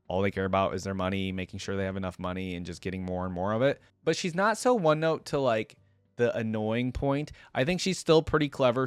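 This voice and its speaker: A man's voice: fairly low pitch, smooth, no room sound. A man giving informal, conversational commentary as host of a reaction video. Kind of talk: casual commentary reacting to game voice lines.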